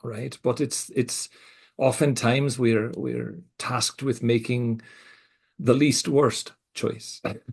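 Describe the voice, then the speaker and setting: Gravelly middle-aged man, mixed Scottish-American accent. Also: medium pitch, fairly even intonation. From Scotland. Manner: reflective, speaks in halting restarts with soft laughs. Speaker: co-host. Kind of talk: casual podcast conversation.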